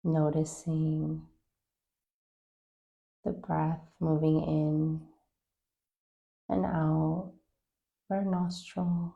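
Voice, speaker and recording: A woman leading a guided meditation, giving calm, gentle, unhurried instructions with long pauses. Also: low-pitched, gravelly, phone-quality sound. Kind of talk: guided meditation, soft scripted-sounding instruction.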